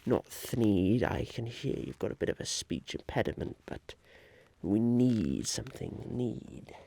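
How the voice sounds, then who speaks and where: A man playing a scheming, eccentric businessman in an ASMR roleplay, musing haltingly and repeating his phrases. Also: lowish voice, lively intonation, vocal fry, warm tone, husky, full character voice. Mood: pondering.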